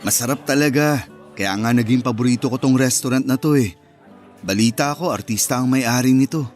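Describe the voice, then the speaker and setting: An actor playing an earnest, romantic young boyfriend in a Filipino radio romance drama, talking in a sincere, conversational way. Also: male voice, mid-range voice, fairly quick, a little mellow, soft background music, natural voice. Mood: casual, sincere.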